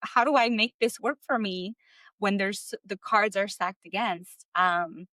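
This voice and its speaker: A woman from the United States who grew up speaking Spanish, a guest telling her career story in a relaxed interview, full of fillers and restarts. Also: medium pitch, a little slow, no room sound. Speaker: guest, clarity coach. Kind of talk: conversational interview.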